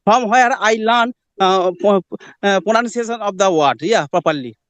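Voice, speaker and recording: Polite Indian man, smooth dry voice. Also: high voice, normal speed, no room sound, lo-fi sound. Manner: hesitant, formal, says ma'am. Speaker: conversation partner. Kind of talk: casual practice conversation.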